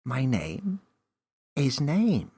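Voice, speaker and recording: A man's voice: medium pitch, huge pitch swings, drawn-out words, warm tone, breathy edge, pitched above the performer's own voice, heavily acted. A man voicing a tiny, cheeky elf in a children's story, friendly and bossy, with a teasing, theatrical manner. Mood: insistent.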